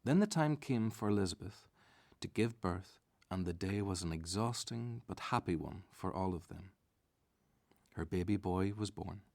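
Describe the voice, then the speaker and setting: A man's voice: low voice, a little mellow, dry recording. A man narrating a scripted radio drama as a storyteller.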